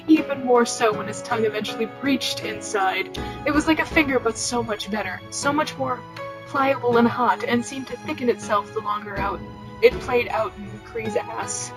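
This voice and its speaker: A woman's voice: on the high side, normal speed, over music, low-quality recording. A woman podcast host reading fan fiction aloud and bantering casually, with a giggly, teasing manner.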